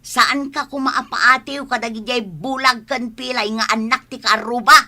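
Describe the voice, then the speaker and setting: A woman's voice: a little high, fairly even intonation, normal speed, bright, forceful tone. A woman playing a nagging, bitter, exasperated mother in a radio drama, with an Ilocano accent. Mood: scolding.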